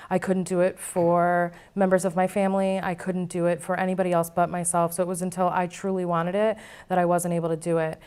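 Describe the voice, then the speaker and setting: A woman gives candid testimony as an interview guest. Her voice is smooth and a little low, and it stays very level as she talks. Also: level tone, fairly quick, darkish tone. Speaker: interview guest, former client now board member. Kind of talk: candid personal testimony in conversation.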